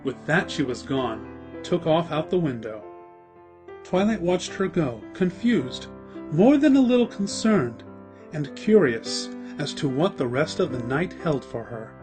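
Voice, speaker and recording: A man's voice: fairly high pitch, big pitch swings, unhurried, music in the background. A man reading scripted story narration as the narrator of a fan-fiction drama.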